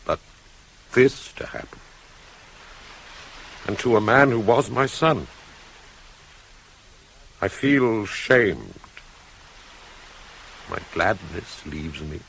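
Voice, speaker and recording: An actor playing a shamed, bitter royal father in a radio Greek tragedy, speaking gravely and haltingly. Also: lowish voice, lively intonation, fairly bright tone, exaggerated voice. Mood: sombre shame. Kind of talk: theatrical radio tragedy acting. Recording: noisy old radio cassette.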